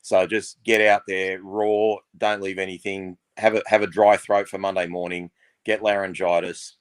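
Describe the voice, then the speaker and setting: A man's voice: low-pitched, level tone, normal speed, clear, resonant tone, no echo. A man co-hosting a fan podcast, teasing and rallying the listeners with fired-up energy. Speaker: podcast co-host. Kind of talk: casual fan podcast chat.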